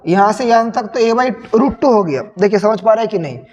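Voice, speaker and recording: A young male physics teacher with a sore, hoarse throat, slowly working through a sample paper and repeating questions to his students. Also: sits high, expressive, darkish tone, muffled recording. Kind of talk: solving a sample paper on screen. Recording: long reverb.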